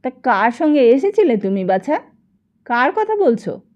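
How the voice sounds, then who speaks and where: Woman acting older brothel madam, audiobook character, high-pitched, brash, suspicious, scolding, colloquial, mercenary. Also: very animated, highs cut off. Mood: brusque, bargaining. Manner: loud, colloquial, rapid-fire questioning.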